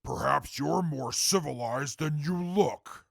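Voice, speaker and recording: A man playing a haughty, coldly formal wasteland companion with a gravelly voice and dry condescension. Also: on the high side, slow, dry recording, exaggerated voice. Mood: detached, condescending. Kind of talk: post-apocalyptic audio drama acting.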